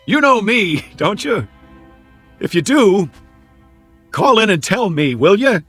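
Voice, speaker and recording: An American actor in a radio drama playing a confused, lonely amnesiac Air Force man who talks to himself in halting, stammering repeats. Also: high-pitched, big pitch swings, a bit bright, husky, no room sound, faint music behind, heavily acted. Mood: pleading, rambling. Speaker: lead actor. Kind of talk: dramatic radio play acting.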